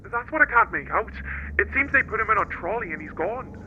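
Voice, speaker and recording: An old cab company boss in a radio play. He sounds high, brusque and baffled, squeezed through a thin cab radio filter. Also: lively intonation, fairly quick, bright, forceful tone, a little hiss or hum, exaggerated voice. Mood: puzzled, brusque. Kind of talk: naturalistic radio drama acting. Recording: tinny, narrow cab radio line.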